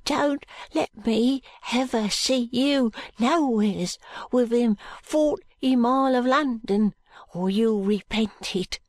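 A woman voicing a frightened, ragged London street boy in an audiobook, with a Cockney accent and hushed, halting, uneducated speech. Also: on the high side, dry recording, pitched above the performer's own voice. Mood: wretched, defensive.

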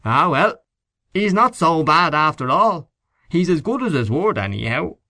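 A man's voice: on the high side, big pitch swings, unhurried, sharp tone, dry recording, clean studio sound, pitched above the performer's own voice, heavily acted. A man voicing a boastful, jovial middle-aged male political canvasser who talks in a brash, teasing way. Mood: cheerful.

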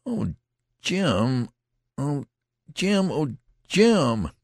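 Man acting anguished young soldier, high-pitched cries. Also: big pitch swings, drawn-out words, dry recording, studio quality, exaggerated voice. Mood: lamenting. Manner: stammering, crying out. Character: anguished, hysterical teenage boy soldier in war.

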